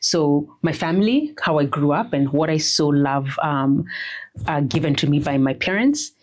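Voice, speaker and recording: Roundtable guest, woman, 50s, low voice, reflective storyteller. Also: normal speed, darkish tone. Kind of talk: casual chat.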